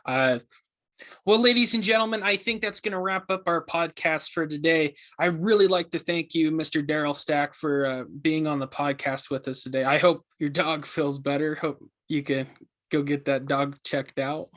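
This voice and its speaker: A man plays an amateur-investigator host in a podcast drama. His voice is a little higher than average. He talks casually and earnestly, feeling his way hesitantly through each thought. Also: fairly even intonation, normal speed, a bit bright, natural voice. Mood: friendly, amused. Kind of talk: casual scripted podcast interview.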